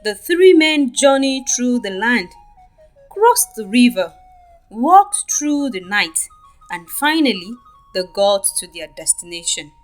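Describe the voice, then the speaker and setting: A woman with a Nigerian accent narrating a scripted folktale for children in an expressive, deliberate way. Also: a little high, faint music behind, rough recording. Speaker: storyteller.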